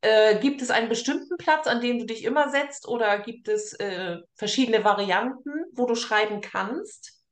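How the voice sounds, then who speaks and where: A woman's voice: fairly high pitch, moderate pace. A woman interviewing casually and informally, often tagging her sentences with little questions for agreement. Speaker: interviewer, host. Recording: roomy echo on the voice.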